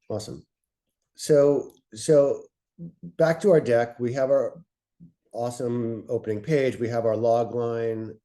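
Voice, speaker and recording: A man giving an informal instructional talk as a panelist, explaining things in a measured, conversational manner. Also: mid-range voice, warm tone. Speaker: panelist, fiction podcast director.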